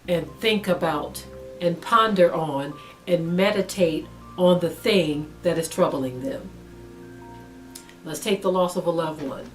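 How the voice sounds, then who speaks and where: A middle-aged woman delivers a spiritual teaching in a low, measured voice. She stops often to gather her thoughts with small ums. Soft music plays faintly behind her. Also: poor audio. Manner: measured, with frequent pauses and ums. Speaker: Bible teacher.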